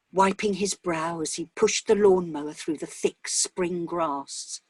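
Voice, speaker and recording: Woman, story narration, slow pace, medium pitch, dry close recording. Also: slightly rough, dry recording. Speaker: short story reader. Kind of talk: scripted narration of a dramatic short story.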